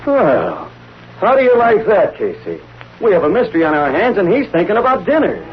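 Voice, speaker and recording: An actor with an American accent playing a wisecracking, eager young reporter in a 1930s radio drama. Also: male voice, sits high, big pitch swings, fairly quick, music in the background. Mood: frustrated. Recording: muffled, noisy vintage radio recording.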